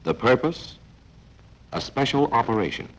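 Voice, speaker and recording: Deep-swooping 1940s training film narrator, a man. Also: expressive, a little hiss or hum, rough recording. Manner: dramatic, clipped phrasing with deliberate pauses. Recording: 1940s film soundtrack with faint music.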